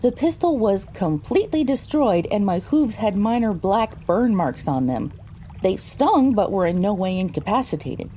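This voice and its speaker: A woman's voice: mid-range voice, big pitch swings, moderate pace, mellow, a little hiss or hum, natural voice. A woman voicing a pony who narrates her own story, reading scripted first-person audio drama narration. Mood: measured.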